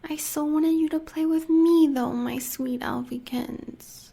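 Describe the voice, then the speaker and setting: A woman's voice: high-pitched, steady tone, a little slow, gravelly, warm tone. A woman with an American accent playing a sadistic, seductive huntress in an audio roleplay, talking in a teasing half-whisper. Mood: pleading.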